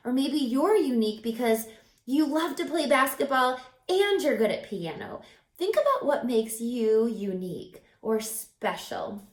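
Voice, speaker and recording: A woman reading a picture book aloud to young children, expressive and emphatic, addressing the little listeners directly. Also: a little high. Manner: asks the listeners questions, emphatic, drawn-out phrasing. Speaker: storyteller, children's book reader. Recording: echoey room.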